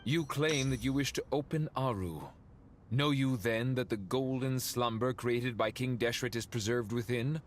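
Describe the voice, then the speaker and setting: An actor playing a refined, dry and haughty ancient sage in a video game, speaking in a measured, eloquent way. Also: male voice, mid-range voice, a little hiss or hum, lightly acted. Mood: grave, formal. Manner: measured, eloquent, dryly condescending. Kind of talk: game voice acting.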